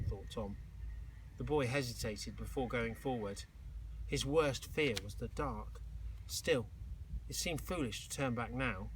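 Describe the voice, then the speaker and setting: A man reading a children's storybook aloud like a teacher, expressively and dramatically, with suspenseful pauses. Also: medium pitch, a bit bright, a little hiss or hum, low-quality recording. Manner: dramatic, with exclaimed sound effects and suspenseful pauses.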